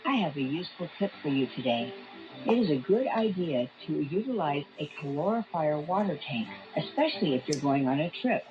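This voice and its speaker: A woman playing a gentle, benevolent blue tang fish plumber, earnestly presenting scripted helpful tips over a lo-fi shortwave broadcast. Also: deep voice, very animated, fairly quick. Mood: helpful, explanatory. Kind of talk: scripted TV tip narration. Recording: dull lo-fi shortwave radio broadcast. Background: music.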